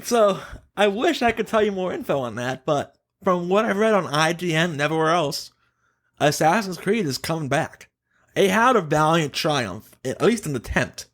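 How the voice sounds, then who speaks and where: A man hosting a podcast, chatting casually and giving opinions in an animated, emphatic way. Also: a little high, moderate pace. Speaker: podcast host.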